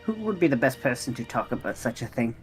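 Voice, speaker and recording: A man voicing a polite, earnest robot adventurer with lost memory in a tabletop game, speaking formally and haltingly. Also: mid-range voice, big pitch swings, faint music behind, lightly acted. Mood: polite, hesitant.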